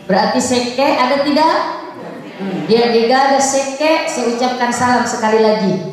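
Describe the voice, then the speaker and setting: A woman from West Java with a Sundanese accent, preaching a lively sermon and playfully quizzing her audience. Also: a little high, moderate pace. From West Java, Indonesia. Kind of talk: lively sermon with Arabic chanting. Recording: echoey hall, distant mic, crowd.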